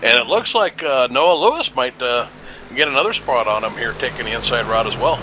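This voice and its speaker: A man giving live color commentary on a race, analyzing calmly with frequent hesitant fillers. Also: medium pitch, a little fast, background noise.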